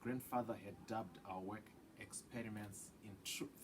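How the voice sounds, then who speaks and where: A man reading a children's picture book aloud with deliberate, dramatic phrasing that drops into hushed tones. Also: fairly low pitch, fairly even intonation, background noise. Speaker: read-aloud storyteller.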